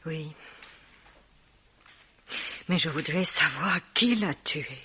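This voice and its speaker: Haughty, guarded woman with husky voice. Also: deep voice, expressive, extremely slow, sharp tone, full character voice. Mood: grieving, guarded. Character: proud, guarded, jealous bereaved woman. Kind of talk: French radio mystery drama acting. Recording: dull vintage-style radio recording.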